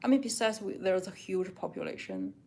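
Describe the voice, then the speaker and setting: A woman's voice: lowish voice, huge pitch swings, brisk, dark tone, a little hiss or hum. Chinese-accented woman chatting casually. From China. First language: Mandarin. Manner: reads questions aloud, then answers frankly with many "like"s. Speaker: vlogger answering viewer questions. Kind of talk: casual chat. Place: home kitchen, doing dishes.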